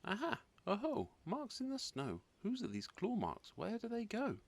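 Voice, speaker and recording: A man voicing a brave, curious young girl monster in a storybook, excitable and full of questions. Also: sits high, normal speed, pitched above the performer's own voice, full character voice. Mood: excited, curious.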